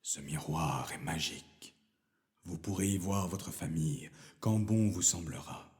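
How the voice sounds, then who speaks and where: Deep, slow storybook beast, acted by a male narrator: courteous, resigned, mellow and hushed. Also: expressive. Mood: solemn, hopeful.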